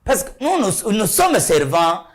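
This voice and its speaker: Emphatic man, lively high voice. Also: measured pace. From Kasai, DR Congo. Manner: emphatic, rhetorical, repeats points and asks "hein?". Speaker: studio guest. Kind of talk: political talk show discussion.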